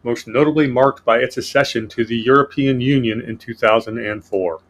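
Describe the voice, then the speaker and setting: A man's voice: medium pitch, moderate pace. A man hosting a casual political podcast, reading from his notes in a sardonic way. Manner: sardonic, reads notes aloud. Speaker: podcast host.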